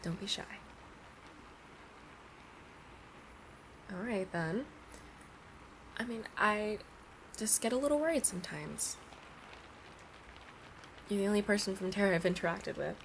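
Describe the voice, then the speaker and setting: A woman's voice: medium pitch, moderate pace, gravelly, pauses often, a little hiss or hum, lightly acted, low-quality recording. A woman playing a playful, pleading young alien princess at college in an ASMR roleplay, chatting and coaxing the listener directly. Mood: gentle, considerate.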